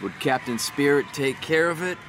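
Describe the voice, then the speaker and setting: An actor playing a middle-aged single father in a video game, a tired beer-drinking dad with a gruff, weary voice and a drawling, half-teasing manner that turns snappish. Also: a little high, lively intonation, moderate pace, background noise, natural voice. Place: living room in a house, game scene.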